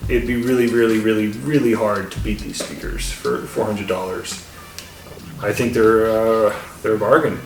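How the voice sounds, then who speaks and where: Low-voiced man in an echoey room. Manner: halting, rambling, repeats words. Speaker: product reviewer and channel host. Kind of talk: casual unscripted audio gear review. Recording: roomy echo, distant mic, faint music.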